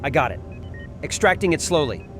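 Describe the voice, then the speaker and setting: A man's voice: fairly high pitch, sing-song, brisk, very noisy. A man playing a methodical, authoritative hospital doctor who gives clipped instructions in a horror audio drama. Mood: focused, commanding.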